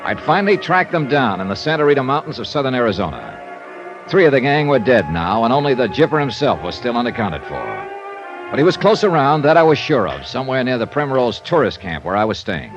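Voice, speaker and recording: An actor playing a shrewd, self-assured insurance investigator in an old-time radio detective drama, with a clipped, probing delivery. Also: male voice, medium pitch, natural voice. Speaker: lead actor and narrator. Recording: dull vintage radio recording, faint music.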